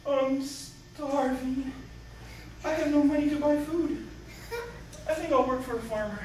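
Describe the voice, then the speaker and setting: A woman plays a very high-pitched young son in a Bible puppet show. The delivery is slow, childlike and exaggerated. It sounds distant and very echoey. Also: fairly even intonation, a little mellow, slight background noise. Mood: miserable. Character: young prodigal son puppet, gleeful spender turned starving penitent. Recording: echoey, distant, music behind.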